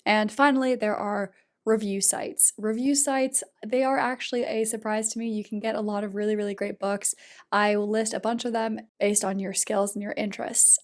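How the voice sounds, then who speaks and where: A woman with a stuffy nose from a bad cold, chatting casually to camera with plenty of "um" and "like". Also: fairly high pitch. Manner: chatty, upbeat, with fillers like "um" and "like". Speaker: YouTuber. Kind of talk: casual vlog explainer.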